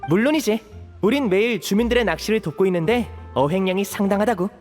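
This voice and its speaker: A man's voice: high voice, fast, soft background music, close to an everyday voice. A man playing a polite, friendly, boyish young magician in a video game, with a youthful, welcoming delivery. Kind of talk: Korean video game voice acting.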